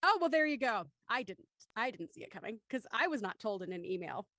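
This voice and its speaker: A woman's voice: fairly high pitch, big pitch swings, fairly quick, pushed and bright, no echo, clean studio sound. A woman hosting a live show with casual banter, playful and self-deprecating as she jokes around. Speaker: host.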